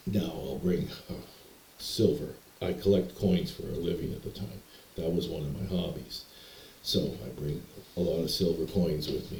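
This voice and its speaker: Deep, mellow-voiced man telling a story. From Canada. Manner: meandering, hesitant, repeats words and restarts. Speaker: vlogger telling personal stories. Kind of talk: rambling personal storytelling. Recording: echoey room.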